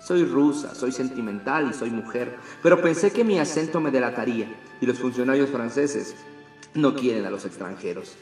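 A man's voice: medium pitch, moderate pace, echoey room, soft background music, lightly acted. A man reading as a lovesick woman letter-writer. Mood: tender longing. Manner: tender, quiet, wistful reading.

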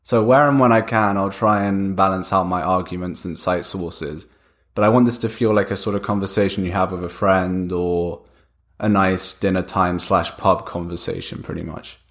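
Young guy with a cold, low voice, chatting his way thru a solo podcast, lots of ums and restarts. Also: fairly even intonation, normal speed, a bit bright. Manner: conversational, thinking aloud with fillers and restarts. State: has a cold. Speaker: podcast host. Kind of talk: casual solo podcast monologue.

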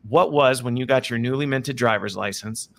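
A bright, upbeat man hosting a live video chat. He keeps things moving with a lot of okays and all rights, in a casual, friendly tone over a call mic. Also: mid-range voice, normal speed, gravelly, a little hiss or hum. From American Midwest. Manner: upbeat, repeats okay and all right often. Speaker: host.

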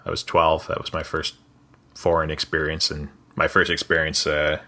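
A man with a very low, bright-edged voice hosts a relaxed podcast chat. He talks haltingly, restarting his thoughts and laughing lightly at himself as he goes. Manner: hesitant, with many restarts and small laughs. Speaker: podcast host. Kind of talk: casual interview conversation.

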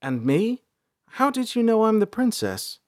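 A man's voice: fairly high pitch, sing-song, moderate pace, pitched above the performer's own voice. A man voicing a sheepish, naive young runaway princess, earnest and inquisitive, in a fantasy audiobook. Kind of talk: audiobook character dialogue.

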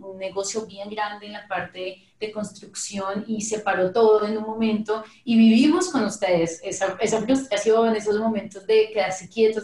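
A woman host leads a casual podcast interview, circling back and restarting her sentences as she talks. Her voice sits at a middle pitch and rings in a roomy, echoey space. Her Spanish carries traces of a Portuguese-speaking life. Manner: warm-hearted, repeats herself, restarts phrases. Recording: echoey room.

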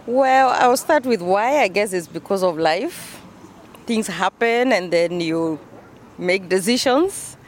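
A woman in her forties with a Kenyan accent, chatting informally as an interview guest outdoors. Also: fairly low pitch. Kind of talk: casual conversational interview. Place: outdoors on a bench in a busy city square. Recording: clear outdoor traffic and city noise. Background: traffic and city ambience.

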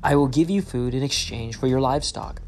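A man voicing an authoritative governor giving measured instructions. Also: medium pitch, slight background noise, close to an everyday voice. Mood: instructive. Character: authoritative governor, a powerful brother.

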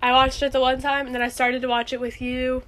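A woman's voice: sits high, flat delivery, fairly quick, a bit bright. A young woman co-hosting a podcast in casual, unscripted chat, speaking informally with plenty of "like". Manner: informal, frequent "like" hedging. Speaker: podcast co-host.

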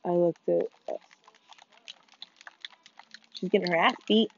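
A teenage girl co-hosting a casual gaming podcast with friends, talking fast, swearing and running herself down, with excited outbursts. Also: lowish voice, slight background noise. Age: teens. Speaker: podcast co-host. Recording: muffled dull sound, faint music behind.

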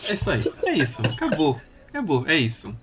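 A man co-hosting a podcast, lively and bossy with his friends in casual chat. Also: on the high side, fairly quick, a little hiss or hum. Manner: lively, bossy ringleader of the group. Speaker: podcast co-host.

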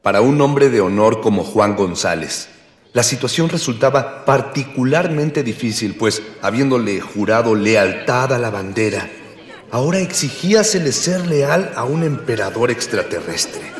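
A man's voice: mid-range voice, lively intonation, unhurried, lightly acted. A man with a Mexican accent playing a pompous, grandiloquent narrator of a mock-heroic radio adventure serial. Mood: pompous, measured. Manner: pompous, grandiloquent, archaic phrasing. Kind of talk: florid old-fashioned radio serial narration. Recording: roomy reverberant sound with crowd behind.

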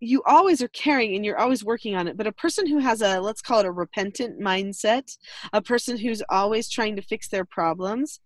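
A woman co-hosting a casual advice chat, explaining calmly and earnestly, laughing now and then. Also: medium pitch, brisk, dry recording, studio quality. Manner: earnest, instructive, laughs often. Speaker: podcast host, parenting teacher.

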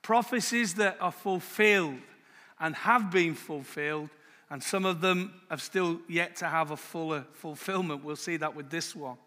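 Man preaching, loud and bright, drags out the bible verses slow, church echo. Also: on the high side. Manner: loud, slow emphatic scripture reading. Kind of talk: sermon.

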